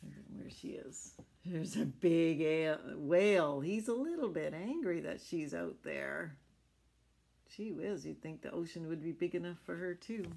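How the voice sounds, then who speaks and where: A woman's voice: low voice, lively intonation, unhurried, vocal fry, a little mellow, breathy edge. A middle-aged woman reading a picture book aloud to children, adding chatty explanations and little questions to her listeners. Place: small furnished room at home.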